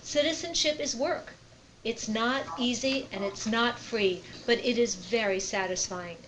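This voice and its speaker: Fairly high-voiced woman giving an earnest speech. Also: background noise. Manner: earnest, measured. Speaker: constitution center representative giving opening remarks. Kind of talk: prepared formal speech.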